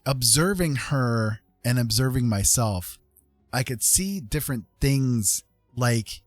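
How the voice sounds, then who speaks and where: A man's voice: mid-range voice, dry recording. A man chatting casually as a livestream host, rambling conversationally and often checking in with his listeners. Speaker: live streamer host.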